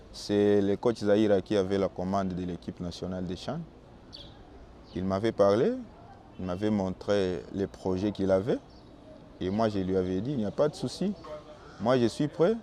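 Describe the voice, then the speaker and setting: A young man from Bukavu with a Congolese French accent, Swahili his first language, calmly answering interview questions as a football goalkeeper. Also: on the low side, slight background noise. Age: young adult. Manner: calm and measured, with small restarts.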